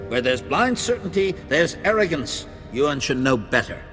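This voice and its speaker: A man's voice: on the high side, sing-song, normal speed, a bit bright. A man playing a stern, disapproving Jedi master in a video game, indignant and emphatic. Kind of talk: video game dialogue acting. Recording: reverberant hall, faint music.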